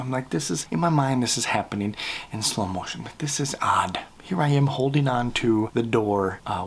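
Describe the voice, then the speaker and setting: Profane, animated man telling a story. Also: breathy edge. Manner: animated, profane, mock-grandiose, with sudden whispers and squeaky voices for objects. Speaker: vlogger. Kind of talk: comedic storytelling rant. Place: living room at home, indoors. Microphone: camera close up at face level.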